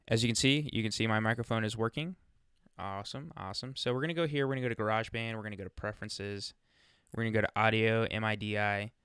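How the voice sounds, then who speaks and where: A man presenting a casual software walkthrough, chatting informally and thinking aloud as he demonstrates, speaking into a close USB microphone. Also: fairly low pitch, steady tone, fast talker, smooth, no echo. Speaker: tutorial presenter.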